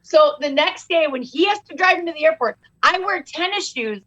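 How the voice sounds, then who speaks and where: A high-pitched woman comedian tells stories on a podcast. She talks casually and leaps up in pitch whenever she acts out what someone else said. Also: a little fast, dull audio. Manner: animated, jumps pitch for quoted voices. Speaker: comedian guest. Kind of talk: casual podcast chat, comic storytelling.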